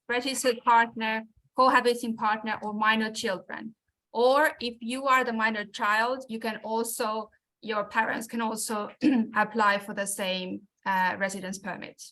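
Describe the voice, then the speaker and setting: A woman's voice: fairly high pitch, sharp tone, low-quality recording. A woman presenting an informational webinar over a video call, explaining things with frequent restarts and fillers.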